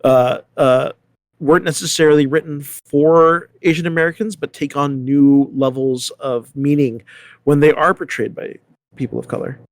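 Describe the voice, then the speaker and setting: A man's voice: a little high, steady tone, normal speed, no echo. A man speaking as a panel guest in a casual discussion, emphatic and discursive.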